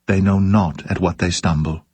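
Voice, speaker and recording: A man's voice: deep voice, steady tone, moderate pace, darkish tone, husky, no room sound. A man reciting scripture in a solemn, scripted style. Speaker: scripture reader.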